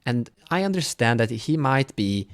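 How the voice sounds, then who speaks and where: A man's voice: mid-range voice, very animated, moderate pace, dry recording. Earnest village herbalist woman played by a man. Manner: earnest, instructive. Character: caring female village herbalist, earnest and advising.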